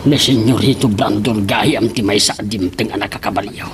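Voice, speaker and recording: A man's voice: steady tone, normal speed, music in the background, lightly acted. A man playing an outspoken hired worker in a radio drama. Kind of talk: Ilocano radio drama acting. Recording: clearly noisy, rumbling track.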